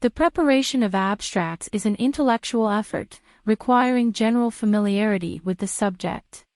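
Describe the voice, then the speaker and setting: A woman's voice: mid-range voice, normal speed, darkish tone, no room sound, clean studio sound. A woman reading a scripted practice passage aloud for exam learners.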